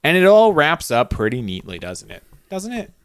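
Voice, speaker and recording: Male co-host, relaxed and wry, dry close sound, chatty episode review. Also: a little high, normal speed, clean tone, no room sound. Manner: laid-back, wry, joking. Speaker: podcast co-host. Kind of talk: casual chat reviewing a TV episode.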